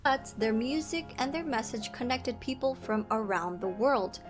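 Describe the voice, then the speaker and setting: A woman reads a scripted narration in an even, informative manner. Her voice is slightly high, and clear music plays underneath the whole read. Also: normal speed. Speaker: documentary narrator. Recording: narration over loud background music.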